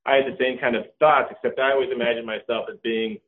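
A middle-aged man, a company co-founder, talking casually in an interview with a relaxed manner and many ums and restarts. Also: mid-range voice, fast talker. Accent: Californian. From Norway. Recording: tinny phone-like line.

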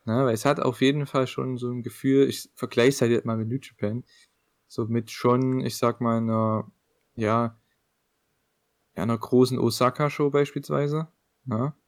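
A young man talks in a relaxed, rambling way as a podcast co-host. He leans on fillers and tag questions as he goes. His voice sits at a middling pitch, and the recording is dry and close. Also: moderate pace, no room sound. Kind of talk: casual chat.

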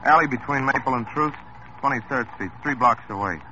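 An actor playing a brisk, businesslike police sergeant with clipped lines in an old radio crime drama. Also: male voice, mid-range voice, close to an everyday voice. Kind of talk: 1950s radio drama acting. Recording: noisy dull 1950s radio recording.

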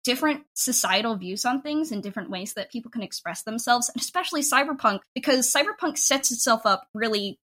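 A woman's voice: on the high side, animated, brisk, few pauses, bright, forceful tone. Chatty young woman in casual conversation. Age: 20s. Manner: informal, chatty, heavy use of "like" as filler. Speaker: podcast creator and writer, interview guest.

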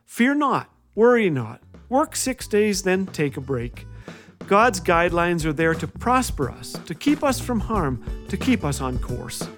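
Male host, high register, playful wry monologue for inspirational comedy radio, light music bed. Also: sing-song, measured pace. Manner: wry, playful, acts out quoted lines. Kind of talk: scripted comedic storytelling monologue.

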